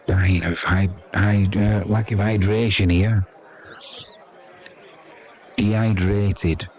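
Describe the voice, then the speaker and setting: A man's voice: on the low side, fairly even intonation, slow pace, a little mellow. A man with an English accent giving chatty, wry, self-deprecating amateur live commentary on cricket. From England.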